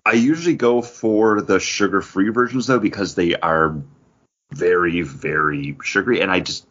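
A man with a fairly low, husky voice and an American accent chats casually as a podcast host. The recording is a bit dull and lo-fi. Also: normal speed, a bit bright.

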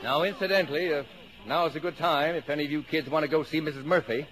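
A man's voice: fairly high pitch, fast talker, close to an everyday voice. An American actor in a mid-century radio drama, playing a jovial, middle-aged, blustery father with an exclamatory, mock-formal way of talking. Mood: hearty. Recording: noisy old radio broadcast recording.

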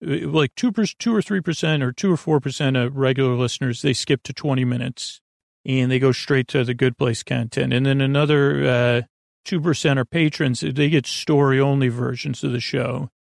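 Male host for a bedtime podcast. Creaky, fry-heavy voice, rambling and hesitant, lulling delivery, very dry recording. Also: medium pitch, steady tone, normal speed, no room sound. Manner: meandering, hesitant, trailing off into tangents. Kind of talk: rambling sleep podcast intro, soothing monologue.

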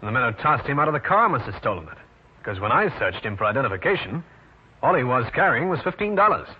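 An actor playing a dry, self-assured private detective in an old-time radio detective drama. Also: male voice, mid-range voice, very animated, quick pace, slightly rough. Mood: cool, dry. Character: wry, hard-boiled male New York private detective. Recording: dull, noisy vintage radio recording.

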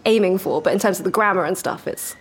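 A woman violinist in a casual interview who explains things in a measured, thoughtful way. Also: medium pitch, slight background noise.

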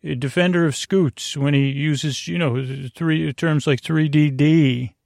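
A man with a gravelly, creaky voice talks very close and dry, as if hosting a bedtime show. His delivery is halting and meandering, drifting through mumbly, whimsical tangents meant to send listeners to sleep. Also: fairly high pitch, steady tone, darkish tone, dry recording. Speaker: podcast host.

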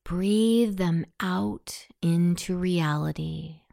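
A woman guiding a scripted hypnosis meditation in a soothing, softly breathy delivery. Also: on the low side, drawn-out words, dry recording, clean studio sound.

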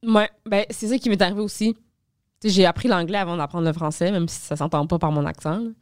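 A stand-up comedian from Montreal with a Québécois French accent, telling stories in a lively, casual podcast chat full of swearing and fillers. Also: female voice, fairly low pitch, quick pace, clear, resonant tone, no echo, studio quality. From Montreal, Quebec.

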